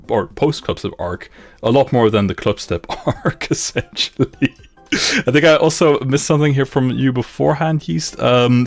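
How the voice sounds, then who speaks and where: A young man with a Dutch-Flemish accent streaming casually from his home gaming room, laughing often and repeating himself as he reads chat aloud. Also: medium pitch. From grew up in Luxembourg, Dutch parents, lives in Belgium. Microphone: studio mic on boom arm, headphones.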